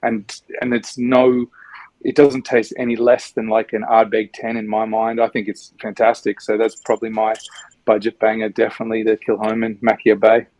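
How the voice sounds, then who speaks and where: A man's voice: lowish voice, monotone, normal speed, darkish tone, no room sound, rough recording. A man chatting in an upbeat, joking way in a roundtable on a video call. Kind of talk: casual roundtable chat.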